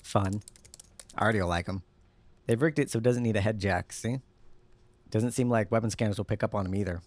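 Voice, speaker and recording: A man plays a watchful tech expert for a street gang in an audio drama. His voice sits fairly low, and he talks dryly, never ruffled. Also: no room sound, close to an everyday voice. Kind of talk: casual audio drama dialogue.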